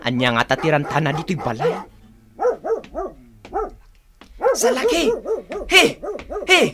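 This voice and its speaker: A woman playing an exasperated younger woman in a radio drama, expressive and protesting, with an Ilocano accent. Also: low voice, moderate pace, very noisy. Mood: indignant. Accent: Ilocano, northern Philippines.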